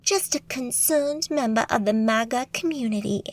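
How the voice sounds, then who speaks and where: A woman's voice: fairly high pitch, moderate pace, natural voice. A woman putting on a coy Southern witch, Angel. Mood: coy flirtation. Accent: put-on Southern US drawl. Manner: coy, sugary, fake-sweet.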